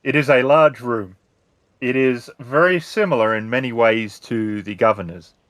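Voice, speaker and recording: A man's voice: mid-range voice, moderate pace, fairly bright tone, dry recording. A man narrating a tabletop roleplaying game as the game master.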